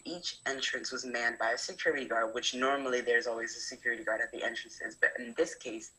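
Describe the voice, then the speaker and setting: A woman's voice: extremely low pitch, pushed and bright, low-quality recording. A young adult woman from New Jersey, a medical student intern, methodically explaining a hospital's procedures with frequent fillers. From New Jersey, USA. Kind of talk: prepared talk from experience.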